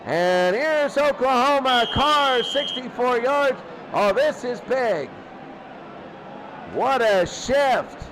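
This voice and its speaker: A man's voice: very high-pitched, animated, slow, background noise. A man doing play-by-play football commentary in short, clipped calls, often repeating his phrases. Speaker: play-by-play announcer of a football game. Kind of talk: excitable sports play-by-play commentary.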